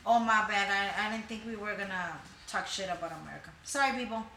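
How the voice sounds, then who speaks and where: Bright, argumentative woman. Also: medium pitch, fast, echoey room, slight background noise. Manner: argumentative, interrupts, profane. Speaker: podcast co-host. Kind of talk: casual comedic banter.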